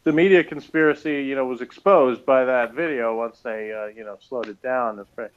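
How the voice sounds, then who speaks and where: Deadpan joking man, dull lo-fi sound. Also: medium pitch, a little fast, slight background noise. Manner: deadpan joker, sarcastic. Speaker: podcast co-host. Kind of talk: casual review chat.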